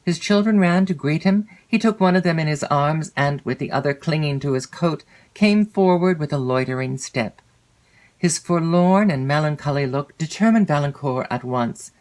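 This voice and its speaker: A woman reading literary fiction as an audiobook narrator, in a measured, expressive storytelling manner. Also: deep voice. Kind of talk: scripted literary narration of a classic novel.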